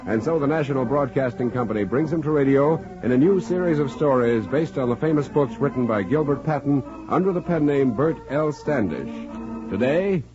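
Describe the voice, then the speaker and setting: A man's voice: medium pitch, expressive, brisk. A man announcing a radio drama in a dramatic, declamatory 1940s style. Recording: muffled vintage 1940s radio recording. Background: music.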